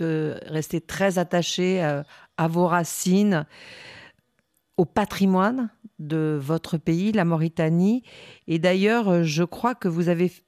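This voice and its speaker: A woman's voice: fairly low pitch, a little mellow, no echo, studio quality. A woman hosting a radio interview who sums up her guest's words and leads into the next question.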